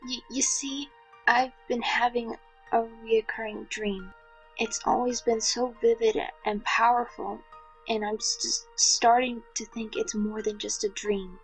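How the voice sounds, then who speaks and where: Lady playing shy anxious young princess, highish voice, hesitant, audio drama w music behind. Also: level tone, a little slow, poor audio. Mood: nervous, earnest. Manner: stammering, hesitant. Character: timid, earnest young princess, anxious peacemaker.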